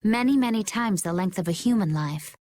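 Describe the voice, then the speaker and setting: A woman playing a composed, knowing young adventurer in a game, explaining things calmly. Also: mid-range voice, fairly quick, close to an everyday voice. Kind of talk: English game dub acting.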